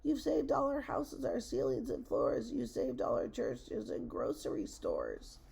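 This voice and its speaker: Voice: mid-range voice, huge pitch swings, a little slow, creaky, darkish tone, slightly rough, voice pushed up, heavily acted. A narrator voicing a tiny, polite, grateful mayor of a miniature people in a thin, piping storybook voice. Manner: polite, earnest. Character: tiny Who mayor, squeaky, polite, grateful small creature.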